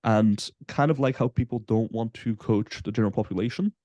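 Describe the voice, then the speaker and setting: A man in his twenties from Belgium, a guest on a casual podcast interview, explaining in a relaxed way with frequent fillers. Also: lowish voice, brisk, dry recording. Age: 20s. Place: Southampton, UK.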